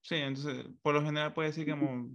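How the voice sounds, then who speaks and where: A man's voice: on the high side, flat delivery, fairly quick, a loud crowd. A man hosting a casual conversation, rambling and offering frequent backchannels.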